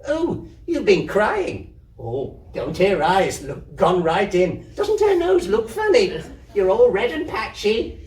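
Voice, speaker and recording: A man voicing mischievous, excitable small children who tease and mock in a storybook reading. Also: high voice, takes it slowly, echoey room, distant mic, voice pushed up, full character voice. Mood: mocking glee.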